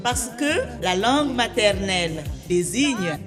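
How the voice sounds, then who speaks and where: Slow, melodic woman radio presenter. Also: mid-range voice, expressive. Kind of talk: scripted radio promo. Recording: music bed under voice.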